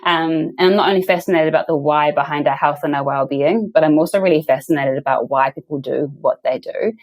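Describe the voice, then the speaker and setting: Woman podcast host, very low pitch for a woman, gushing and upbeat. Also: brisk, a little mellow. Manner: enthusiastic, effusive. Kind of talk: podcast interview hosting.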